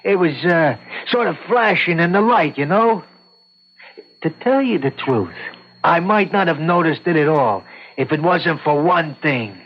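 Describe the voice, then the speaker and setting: A man's voice: a little high, expressive, measured pace. A man acting a talkative, stubborn eyewitness in his sixties, hesitant and folksy, in an old-time radio courtroom drama. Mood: stubborn, insistent. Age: 60s. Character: talkative sixty-seven-year-old male eyewitness, stubborn and plainspoken. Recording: dull lo-fi vintage radio recording.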